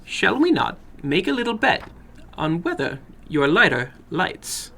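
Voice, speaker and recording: Casting: a man playing a sinister little foreign gambler for a horror story, raised pitch, soft, polite, coaxing, foreign accent. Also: wildly swooping pitch, creaky, exaggerated voice. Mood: polite, probing. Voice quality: soft, toneless. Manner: slow, courteous, coaxing, broken English. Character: funny little foreign gambler, soft-spoken, slyly menacing.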